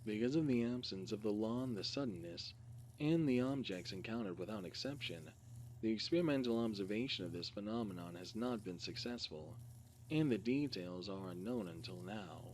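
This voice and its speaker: A man reads a scripted case file as narration, in a halting, word-by-word way. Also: on the low side, animated. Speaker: reader. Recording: clearly noisy recording with hum.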